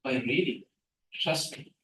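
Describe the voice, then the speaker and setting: A middle-aged man whose first language is Tamil gives a guest lecture on scientific writing. He is animated and keeps asking the audience questions. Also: mid-range voice, takes it slowly. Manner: animated, asks the room questions. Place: seminar room, hybrid session. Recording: echoey room from a distance.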